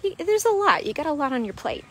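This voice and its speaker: A woman's voice: high-pitched, sing-song, fast, fairly bright tone, a little hiss or hum. Chatty woman walking outdoors. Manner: chatty, explanatory, with frequent "right?" and "um". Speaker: weight loss coach. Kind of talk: casual walk-and-talk vlog. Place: outdoors at a beach park. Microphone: wired earphone mic, phone at arm's length.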